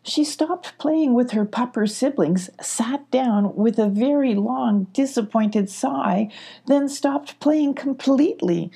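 Female children's audiobook narrator, husky and relaxed, measured pacing of phrases, expressive storytelling. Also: on the high side. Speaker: audiobook reader.

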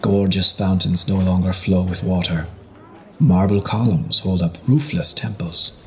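A man reading a historical novel as scripted narration, in a measured storytelling delivery. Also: low-pitched, steady tone, slight background noise. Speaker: audiobook narrator.

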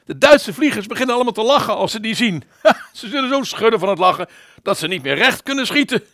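Acteur als jonge, hoge piloot, kameraadschappelijk. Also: male voice, sits high, very animated, fast, a bit bright, dry recording, clean studio sound, exaggerated voice. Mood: exuberant, amused. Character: Pieter, loyal British fighter pilot, jovial and protective. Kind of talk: radio play acting.

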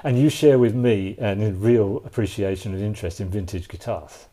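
A man's voice: lowish voice, moderate pace, dark tone. A man with a Cockney accent from East London, hosting an interview in a chatty, affirming way. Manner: affirming, chatty, with lots of short agreements. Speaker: host. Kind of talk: interview conversation.

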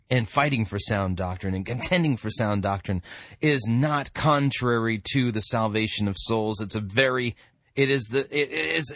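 A man hosting a radio show, giving informal commentary with emphatic, drawn-out delivery. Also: medium pitch, a bit bright, dry recording, studio quality.

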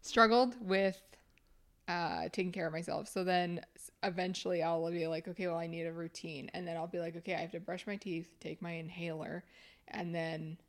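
Twenties woman, bit low pitched, chatty podcast cohost, laughs a lot. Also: fairly even intonation, moderate pace. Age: 20s. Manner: chatty, laughs often, quiet asides. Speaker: podcast co-host. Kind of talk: casual chat.

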